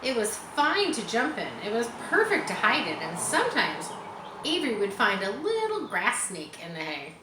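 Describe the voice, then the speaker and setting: A woman reading a children's story aloud in a teacherly way, pausing to explain and chat to her listeners. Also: on the high side, huge pitch swings. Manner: teacherly, explains words and adds chatty asides. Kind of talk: children's audiobook reading with asides. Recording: roomy echo with faint background noise.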